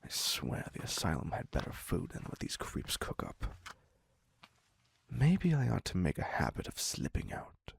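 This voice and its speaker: Guy acting as a dramatic clingy serial killer for audio roleplay, swoopy wry delivery, husky, super dry close recording. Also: low voice, huge pitch swings, unhurried, no echo, exaggerated voice. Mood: wry, dismissive. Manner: wry, mock-courteous, wheedling and dramatic. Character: theatrical, clingy male serial killer doting on his captive therapist. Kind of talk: scripted dark romance audio roleplay.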